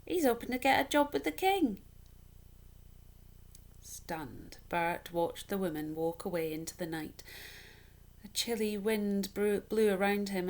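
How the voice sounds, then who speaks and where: A woman reading a children's story aloud in an expressive, measured, dramatic storytelling style. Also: mid-range voice.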